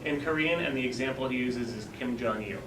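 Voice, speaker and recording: A man who founded a startup pitches his company and answers audience questions in a conversational, explanatory way, with quick self-corrections. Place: event room. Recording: echoey, distant, noisy room.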